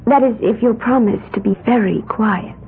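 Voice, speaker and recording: A fanciful young woman chatters in a bright, slightly high, sing-song voice, full of earnest wonder. The sound is thin and narrow, like an old radio broadcast with a faint hiss underneath. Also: moderate pace, vocal fry. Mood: earnest, dreamy. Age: young adult. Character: dreamy young woman, babbling, odd beliefs. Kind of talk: 1970s radio drama acting.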